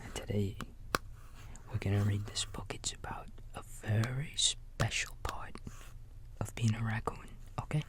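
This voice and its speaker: Guy being a kindly raccoon babysitter, slow whispery asmr bedtime voice, folksy big brother type. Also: on the low side, close to an everyday voice. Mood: soothing, playful. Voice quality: soft, breathy whisper. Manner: gentle, reassuring, folksy, halting, talking to a small child. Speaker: ASMR roleplay host.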